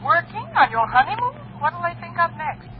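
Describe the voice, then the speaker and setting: An actress playing a breezy, wisecracking roommate with quippy delivery in a 1940s radio comedy, on a muffled, noisy recording. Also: female voice, very high voice, sing-song, fairly quick, a faint crowd. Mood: wry, puzzled.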